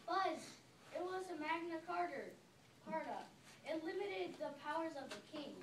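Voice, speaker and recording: A woman's voice: extremely high pitch, animated, moderate pace. A female-sounding amateur performer playing a matter-of-fact, know-it-all male quiz contestant in a readers' theater skit. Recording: echoey, noisy room, distant microphone.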